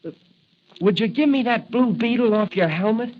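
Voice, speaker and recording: A man's voice: very high-pitched, lively intonation, moderate pace. A male actor playing an earnest, admiring young boy in a 1940s radio serial on an old recording. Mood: awed, pleading. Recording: muffled, noisy old radio broadcast.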